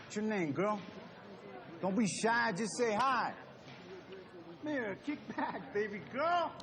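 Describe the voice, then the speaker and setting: A man's voice: high voice, lively intonation, slow pace, a bit bright, heavily acted. An actor playing a leering, taunting prison inmate in a cartoon film, crooning his catcalls. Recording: long hall reverb.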